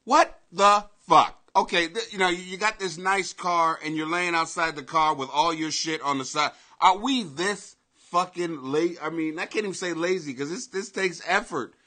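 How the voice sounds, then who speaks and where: A man in his forties hosting a casual live webcam show from his home basement, talking loosely with swearing, loud bursts and laughing asides. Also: on the high side, normal speed. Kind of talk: casual profane live commentary. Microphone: webcam at desk.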